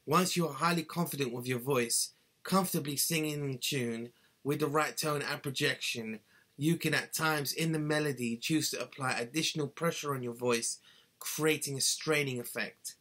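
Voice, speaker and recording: Man in his thirties, earnest instructive tone, mid-pitched voice, webcam in small bedroom. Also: normal speed, a bit bright. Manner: earnest, instructive, gestures with both hands. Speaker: singing tutor. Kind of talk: scripted how-to talk to camera. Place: small cluttered bedroom, indoors.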